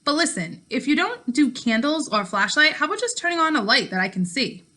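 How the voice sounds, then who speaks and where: A woman's voice: sits high, very animated, fairly quick, pushed and bright, pitched above the performer's own voice, exaggerated voice. A woman voicing a bold, confident girl in a storybook, breezy and mocking. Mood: teasing, scornful.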